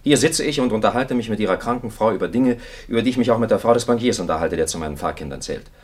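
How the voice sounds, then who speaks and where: An actor playing a weary, principled priest in a 1950s radio play, impatient and plain-spoken, with dry, earnest retorts. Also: male voice, on the low side, expressive, racing. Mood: impatient, reproachful.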